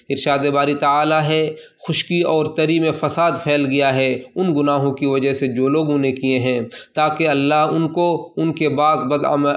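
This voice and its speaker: A man reading a translated Friday sermon aloud in a formal, steady recitation, addressing the listeners as servants of God. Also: fairly high pitch.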